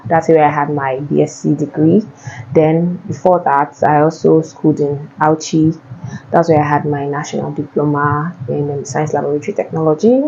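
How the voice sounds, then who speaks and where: A woman's voice: sits low, fairly even intonation, moderate pace, vocal fry, warm tone, muffled recording, slight background noise. A young Nigerian woman with a Nigerian accent, answering viewer questions in a casual, chatty way and often repeating herself. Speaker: vlogger.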